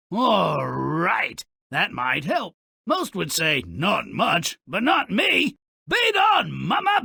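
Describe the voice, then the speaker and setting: A man voicing a grumpy, gleefully malicious little imp who tells fairy tales in a video game, gravelly, raspy, theatrical and mocking. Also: medium pitch, bright, forceful tone, no room sound, studio quality, heavily acted. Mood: gleeful shouting. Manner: mocking, theatrical, relishing misfortune. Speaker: storyteller character of a fairy tale game. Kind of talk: theatrical storybook narration with game quips.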